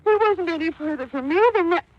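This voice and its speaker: A high-pitched, kittenish schemer from a 1940s radio mystery, coy and greedy with a sleepy playfulness. The old recording is dull and noisy. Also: female voice, a little fast. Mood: playful, greedy.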